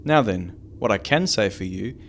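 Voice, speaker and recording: A man's voice: on the low side, animated, moderate pace, creaky, a little hiss or hum. A man playing a courteous research base chief scientist in a fan audio drama, formal and polite. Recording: loud music behind the voice.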